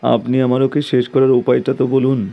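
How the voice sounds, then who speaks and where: A man's voice: mid-range voice, completely flat delivery, quick pace, gravelly, phone-quality sound, faint music behind, voice pushed up, lightly acted. A man voicing an earnest, worried young man in a horror cartoon, respectful and questioning. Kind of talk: dramatized horror story for cartoon.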